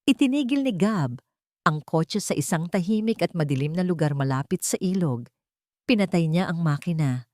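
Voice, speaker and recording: A woman's voice: fairly low pitch, expressive, normal speed, a little mellow, no echo, clean studio sound. A woman reading a scripted romance story as a dramatic narrator.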